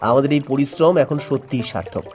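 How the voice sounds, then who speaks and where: A man voicing a busy, dutiful young son at a village food stall in an animated story. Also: medium pitch, fast, natural voice. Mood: proud. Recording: voice over background music.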